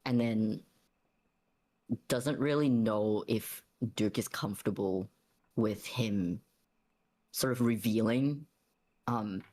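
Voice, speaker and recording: A man casually narrating his character's actions in a tabletop roleplaying game, pausing to think as he goes. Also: on the low side, darkish tone.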